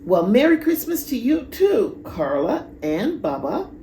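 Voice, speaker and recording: A woman's voice: fairly high pitch, sing-song, unhurried, warm tone, reverberant, voice pushed up. A teasing, cheerful old grandmother from a children's book, performed by a woman reader. She speaks emphatically in a raised voice, lingering on words. The recording has noticeable noise.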